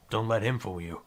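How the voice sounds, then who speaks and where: An actor plays a gruff male survivor with a fairly low voice. He is deadpan and sarcastic, with dry wit, in an apocalypse drama. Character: sardonic, gruff, dry-witted man survivor. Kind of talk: dramatic audio drama acting.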